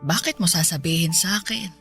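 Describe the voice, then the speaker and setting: An affectionate, ailing elderly mother in a radio drama. She talks gently and fussily, with a teasing streak, over background music. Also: lowish voice, a little fast. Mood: fond, teasing.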